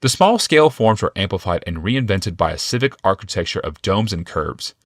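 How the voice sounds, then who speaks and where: A man's voice: low-pitched, very animated, a little fast, no echo, clean studio sound. A man reading a scripted fantasy audiobook as its narrator.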